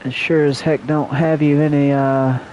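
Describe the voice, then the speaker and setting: A man's voice: fairly high pitch, little pitch movement, normal speed, muffled recording, noisy background. A man doing casual, folksy game commentary, muttering to himself as he plays. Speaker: game streamer. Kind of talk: casual livestream game commentary, reading dialogue aloud. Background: faint game music.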